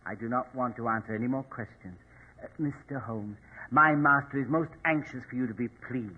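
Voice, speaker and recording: Man acting a sinister, husky, over-polite mortician, tinny old radio. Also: medium pitch, close to an everyday voice. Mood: politely menacing. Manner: formal, unctuous, deliberate. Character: sinister, politely menacing male mortician, smugly courteous henchman. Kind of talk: 1940s radio drama acting. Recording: tinny, band-limited old radio recording.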